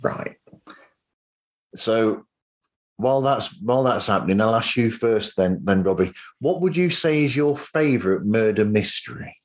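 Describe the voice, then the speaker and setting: A man with a fairly low voice hosts a conversational interview. He thinks aloud in a reflective, rambling way, looping back over his points. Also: lively intonation, a little slow. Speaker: podcast host. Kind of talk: casual interview chat.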